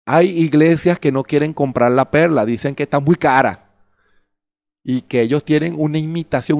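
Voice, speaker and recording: Slow-preaching man with a handheld mic. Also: mid-range voice, a little mellow. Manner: rhetorical questions to the congregation, acting out quoted excuses. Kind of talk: sermon. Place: church stage before a curtain.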